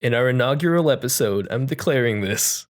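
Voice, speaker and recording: A man's voice: medium pitch, animated, a little fast. A man hosting a podcast, chatting about films in an informal, jokey and rambling way. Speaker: podcast host. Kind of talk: casual film discussion chat.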